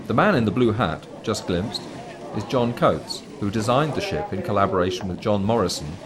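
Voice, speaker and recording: A man reading scripted documentary commentary in a measured, formal, explanatory manner. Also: lowish voice. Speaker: documentary narrator.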